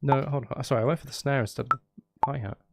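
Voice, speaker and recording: A male streamer talks casually as he works through making music, often breaking off mid-thought to think aloud. His voice sits at an average pitch with a clear creaky fry. Loud drum kit and music play behind him. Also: fairly even intonation, racing. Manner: hesitant, self-interrupting, thinking aloud. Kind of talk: casual livestream chat while recording drums.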